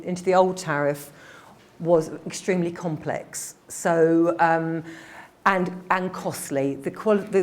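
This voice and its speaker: Woman, admissions head, a bit croaky, quick informal presenter, lower pitch. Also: darkish tone. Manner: quick-talking, informal, with fillers. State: slightly croaky voice. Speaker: head of admissions giving a briefing. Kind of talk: presentation to a group.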